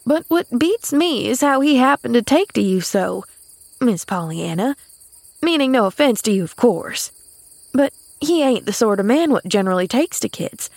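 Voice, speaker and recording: Woman voicing an excitable, gossipy rustic housemaid, high-pitched. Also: normal speed, dry recording. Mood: puzzled. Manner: dramatic, folksy, ungrammatical dialect, repeats herself. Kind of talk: audiobook character voice.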